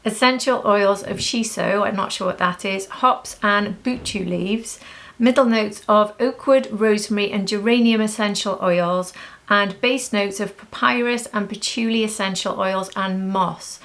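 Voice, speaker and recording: A woman with an average-pitched voice that often crackles into fry. She talks like a beauty vlogger going through favourite products, chatty and informal. Her delivery gushes, leaning on repeated words for emphasis. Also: measured pace, fairly bright tone. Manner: gushing, emphatic repetition. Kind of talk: casual chatty product review.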